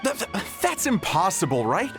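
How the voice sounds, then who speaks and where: Disbelieving young male bystander, fantasy game: animated, flustered, music clearly underneath. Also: high-pitched, moderate pace, full character voice. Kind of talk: video game voice acting.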